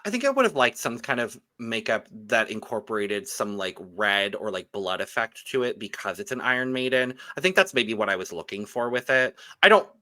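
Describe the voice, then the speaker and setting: A man's voice: medium pitch, very animated, a little fast, few pauses, pushed and bright. A man co-hosting a podcast, giving casual, opinionated reviews of fashion looks. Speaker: podcast co-host reviewing drag runway looks.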